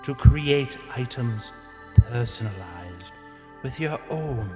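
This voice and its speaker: A man playing a solemn, measured announcer in a futuristic advertisement, with long echoing reverb. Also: medium pitch, animated, music in the background. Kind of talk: scripted advertisement narration.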